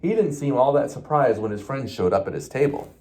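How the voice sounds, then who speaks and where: A man's voice: medium pitch, lively intonation, fairly quick, a little mellow, a little hiss or hum. A man, a police officer, reading a children's storybook aloud expressively, with the odd small stumble.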